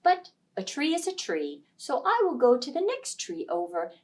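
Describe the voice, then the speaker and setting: Woman reading impulsive storybook woodcutter, husband type: plain, emphatic, animated, slightly off-mic. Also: high-pitched, moderate pace, distant mic, voice pushed up. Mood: wishful. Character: simple, hungry married woodcutter, impulsive and weary.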